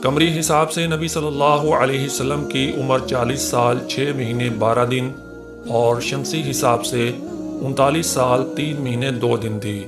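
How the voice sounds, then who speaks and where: A man's voice: mid-range voice, fairly even intonation, moderate pace. Man narrating religious history over prominent music. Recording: music behind the voice.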